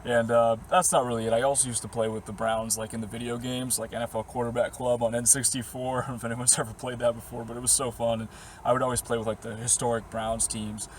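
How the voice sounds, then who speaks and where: Slightly hoarse young man, rambling easily. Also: medium pitch, flat delivery, fast, a little hiss or hum. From Boston area. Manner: rambling, easygoing, with short laughs and many fillers. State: slightly hoarse, losing his voice. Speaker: vlogger answering viewer questions. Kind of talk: casual chat to camera. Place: inside his parked camper van.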